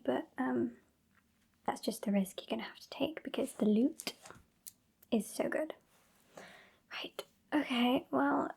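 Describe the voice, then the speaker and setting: A sassy British vampire lady, played by a woman for an ASMR roleplay, speaks in a soft, breathy half-whisper. The voice sits fairly high yet stays mellow, with some creak in it. She is haughty and bossy, teasing her listener with dry condescension. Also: heavily acted. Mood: bossy, weary. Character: sassy, bossy female vampire, over a century old.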